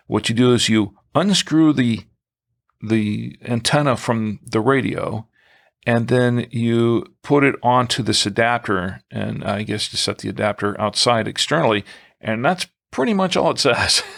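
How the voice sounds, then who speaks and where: Man with a creaky, gravelly voice. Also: mid-range voice, a little slow, fairly bright tone. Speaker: podcast co-host. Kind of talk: conversational product discussion.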